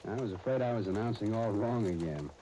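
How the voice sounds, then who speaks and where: A man's voice: fairly low pitch, brisk, mellow. An American man announcing on 1930s radio, handling scripted narration and advertising patter. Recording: noisy 1939 radio disc recording.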